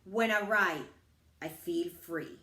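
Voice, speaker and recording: A middle-aged woman reading her own personal, inspirational writing aloud in measured phrases. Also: lowish voice, bright, forceful tone. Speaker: author reading her book. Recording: roomy echo, distant from the mic.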